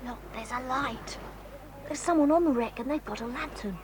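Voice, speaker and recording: A woman acts out a brave, sensible teenage boy on an old story tape. His voice sits fairly high and comes in urgent, hushed whispers. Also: normal speed, background noise, lightly acted. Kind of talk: 1980s radio-style audio drama.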